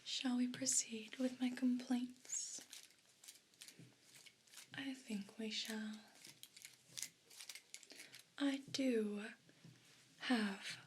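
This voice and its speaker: A haughty evil house cat, played by a woman, whispers in pompous, formal phrases for an ASMR role play. The delivery is extremely slow and breathy, dripping with offended disdain. Noticeable noise sits behind the voice. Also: on the high side.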